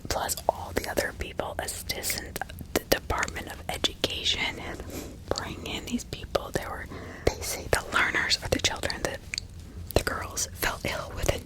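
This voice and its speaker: A woman whispering ASMR true-crime commentary in a breathy, unvoiced, conversational way with emphatic repetition. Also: poor audio. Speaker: ASMR host.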